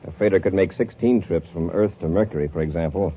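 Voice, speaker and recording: An actor playing a brisk, authoritative space patrol commander in a 1950s radio adventure, on a tinny old-time radio recording. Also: male voice, sits low, breathy edge. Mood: brisk, serious.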